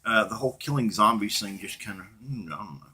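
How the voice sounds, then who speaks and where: A middle-aged man with a husky voice, talking casually and off the cuff as a blunt, profane, opinionated gaming channel host. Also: on the low side, quick pace, pushed and bright. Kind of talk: casual unscripted rant and commentary to viewers.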